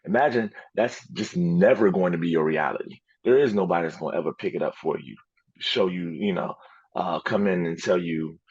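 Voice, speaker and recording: A man's voice: low voice, a little fast, a little mellow, slightly rough, no echo, muffled recording. A man hosting a podcast who talks emphatically and repeats phrases to drive his point home. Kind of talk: podcast conversation.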